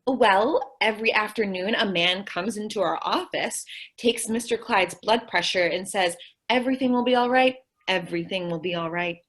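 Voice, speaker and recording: An actress plays a sweet, scatterbrained young secretary in radio comedy style. The voice is breathy and rough-edged at a middling pitch, with a naive, literal-minded earnestness. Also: female voice, normal speed, fairly bright tone, lightly acted. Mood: earnest, naive. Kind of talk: old-time radio comedy acting.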